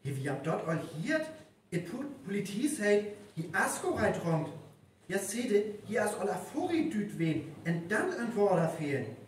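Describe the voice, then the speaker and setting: A performer with a North Frisian accent playing a brisk village character in a live radio play. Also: mid-range voice, sing-song, lightly acted. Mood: informing. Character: brisk village character passing on police news. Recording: very echoey live stage, distant, faint noise.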